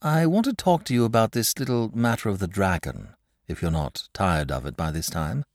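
A man voicing an eager, excitable young village boy who pleads breathlessly in a storybook reading. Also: lowish voice, moderate pace, no room sound, studio quality. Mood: polite.

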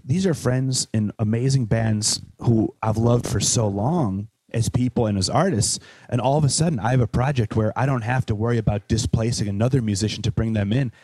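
A man's voice: mid-range voice, a little mellow, husky, no room sound. A man from Chicago with a Chicago accent, a musician giving an interview, talking in a loose, rambling way full of fillers and restarts. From Chicago, with Welsh roots. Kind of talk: casual interview chat.

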